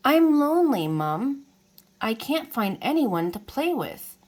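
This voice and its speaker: Young male storybook dragon, lonely and earnest, higher pitch, performed by a woman. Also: very animated, slow pace, heavily acted. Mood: sad, lonely. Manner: plaintive, earnest. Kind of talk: children's storybook character reading.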